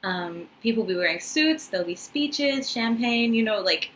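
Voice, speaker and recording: A woman's voice: a little high, animated, moderate pace, a bit bright, a little hiss or hum. A woman in her twenties with a New Zealand accent, chatting to the camera in a casual, friendly way with many ums and uhs, recorded close up in a small room. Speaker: vlogger, English teacher in Japan. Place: small room indoors, wooden floor, paper screens.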